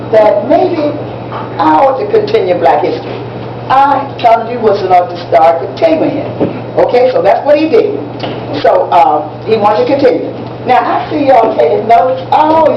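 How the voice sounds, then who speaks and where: A woman playing an excitable teacher in a stage play, emphatic and repetitive as she coaches students for a contest. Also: medium pitch, close to an everyday voice. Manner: emphatic, repetitive, checks that listeners follow. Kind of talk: stage play dialogue, lecturing. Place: echoey room at a live public performance. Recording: echoey, distant, muffled room recording with noise.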